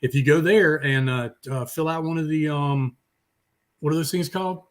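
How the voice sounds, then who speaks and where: Foul-mouthed, rambling man, higher-pitched voice. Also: normal speed. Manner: foul-mouthed, blunt, talkative. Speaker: co-host of a music talk show. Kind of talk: casual rambling chat.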